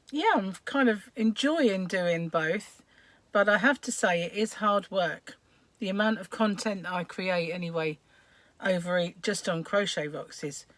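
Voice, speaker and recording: A woman hosting a crochet livestream, chatting casually and greeting viewers by name, her voice croaky today with frequent throat clearing. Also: fairly low pitch, dry recording. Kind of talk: casual live-stream chat while crocheting.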